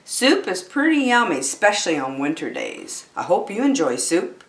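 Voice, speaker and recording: Woman, children's read-aloud host, highly animated, unhurried, expressive, echoey room. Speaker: children's story time host. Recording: roomy, echoey room sound.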